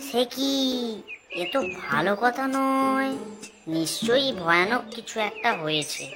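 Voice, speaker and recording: A man's voice: extremely high pitch, lively intonation, measured pace, music in the background. A man voicing a boastful, mocking know-it-all animal in a children's fairy-tale cartoon. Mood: curious.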